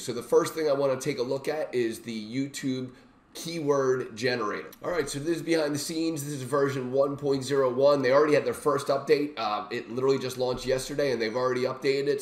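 A middle-aged man with a voice worn by illness gives an informal, enthusiastic software walkthrough as he shares his screen. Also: mid-range voice. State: sick, recovering from a lost voice. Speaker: software reviewer and demonstrator. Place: small indoor home room. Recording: roomy, echoey home-room sound.

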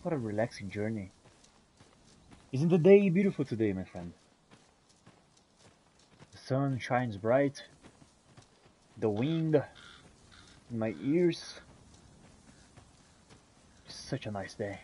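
A man roleplaying a bossy, weary fantasy warrior in a video game, improvising theatrically with a husky voice. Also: mid-range voice, big pitch swings, slow, long pauses, mellow, exaggerated voice, low-quality recording. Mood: content. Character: male fantasy warrior, weary, bossy, exasperated with his companion. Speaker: gamer roleplaying as his character.

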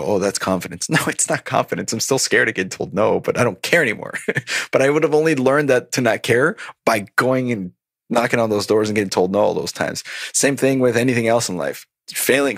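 A man in his thirties, originally from Colombia, a stand-up comedian telling stories in a casual interview, animated and laughing often as he acts out what people said. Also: lowish voice, breathy edge, no echo, clean studio sound. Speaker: podcast guest, stand-up comedian.

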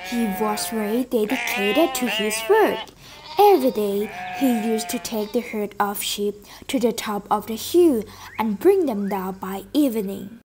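A woman narrating a fable in a student puppet show, in slow, clear storybook style. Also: fairly high pitch, animated, background noise.

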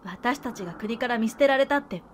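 A woman acting an impatient, sharp-tongued, bossy young woman in an audio drama. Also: a little high, expressive, fairly quick, slight background noise, close to an everyday voice. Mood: irritated.

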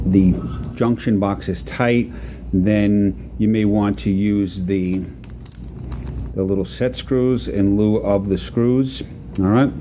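A man talking through a hands-on repair demonstration in a relaxed, halting way with many fillers, recorded off-camera at an indoor workbench. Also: lowish voice, a little hiss or hum. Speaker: product demonstrator.

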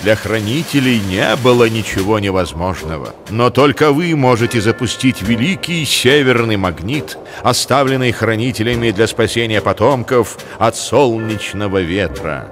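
A man's voice: fairly low pitch, expressive, moderate pace, few pauses, a little hiss or hum, natural voice. A man voicing a grave, ceremonious polar bear elder of an ancient brotherhood in a cartoon. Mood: grave, reverent. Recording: music under the voice.

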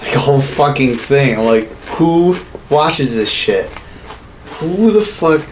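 A man's voice: medium pitch, expressive, slow pace, slight background noise, poor audio. A man in his thirties chatting casually as a podcast co-host, irreverent and swearing heavily. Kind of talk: casual chat. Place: small home studio room, indoors.

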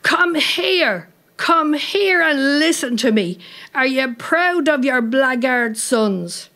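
A woman's voice: medium pitch, animated, slow pace. A woman voicing a cantankerous old Irish woman in a story, indignant and scolding in an Irish accent. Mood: shouting, scolding.

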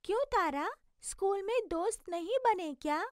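A woman's voice: extremely high pitch, lively intonation, moderate pace, no room sound, studio quality. A woman voicing a chirpy, curious little blue bird in an educational cartoon, asking many questions.

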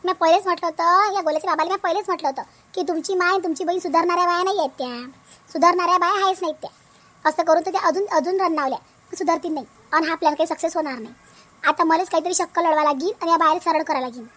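A woman voicing a shrewd, aggrieved village wife and mother in a family comedy cartoon, brisk and practical, with a rural Vidarbha Marathi accent. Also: extremely high pitch, a bit bright.

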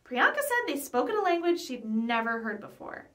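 A woman voicing an excited, inquisitive schoolboy obsessed with ghosts, in a storybook reading. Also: sits high, moderate pace, reverberant. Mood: eager. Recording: voice picked up from some distance.

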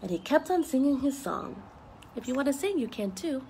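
High-voiced woman reading to children, noisy recording. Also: moderate pace. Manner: animated, addressing young children with questions. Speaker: storyteller reading aloud to children. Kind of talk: picture book read-aloud with chatty asides. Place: outdoor wooden deck with trees around. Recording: noisy outdoor home video. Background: clear outdoor ambient noise.

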